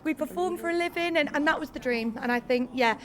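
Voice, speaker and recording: Gushing woman with a high voice. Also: fast talker, crowd noise. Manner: gushing, eager, laughs often. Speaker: singer in a duo, award winner. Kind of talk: excited interview answers.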